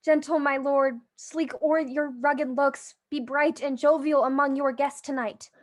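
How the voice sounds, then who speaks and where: Young woman as high-voiced gentle Shakespearean queen. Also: fairly even intonation, unhurried. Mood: soothing, urging. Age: young adult. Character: gentle, composed queen soothing her troubled husband. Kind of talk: Shakespearean verse drama acting.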